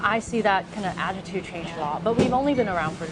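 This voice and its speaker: A woman's voice: mid-range voice, quick pace. A woman who co-founded a startup, chatting casually in an interview and peppering her talk with "like" and "you know". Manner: animated, frequent fillers like "like" and "you know". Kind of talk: conversational interview chat. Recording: loud background noise.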